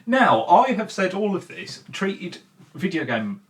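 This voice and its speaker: A man's voice: high-pitched, big pitch swings, takes it slowly, fairly bright tone. Emphatic man giving opinionated video commentary. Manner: opinionated, emphatic, spells out points with repetition. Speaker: video channel host presenting an opinion piece. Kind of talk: conversational video essay commentary to viewers.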